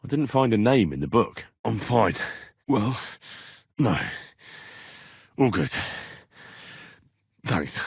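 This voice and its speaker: An earnest young medieval commoner for a video game. His voice is husky and swings expressively, with a cheeky, dogged, bantering way of speaking. The recording is close and dry. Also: on the low side, big pitch swings, takes it slowly, long pauses, a little mellow, no echo, heavily acted. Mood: sceptical. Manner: colloquial, questioning, bantering. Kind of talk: video game character dialogue.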